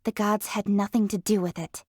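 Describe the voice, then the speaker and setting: A woman's voice: medium pitch, fairly even intonation, normal speed, darkish tone, no echo, clean studio sound, close to an everyday voice. An actress playing a confident, proud young woman leader in a fantasy video game, speaking in an articulate, earnest, self-assured way. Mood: proud, defiant. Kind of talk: scripted video game character voice lines.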